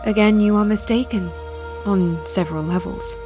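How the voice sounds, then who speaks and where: A woman playing a confident, defiant young princess in an audio drama, with a calm, controlled, assured delivery. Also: mid-range voice, normal speed, over music, lightly acted. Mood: dismissive.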